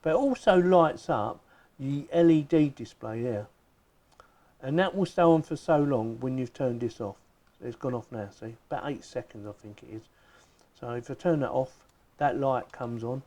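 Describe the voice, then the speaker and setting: A man's voice: mid-range voice, moderate pace, darkish tone. A man giving a casual, chatty unboxing and product demonstration, thinking aloud informally as he goes. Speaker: product reviewer.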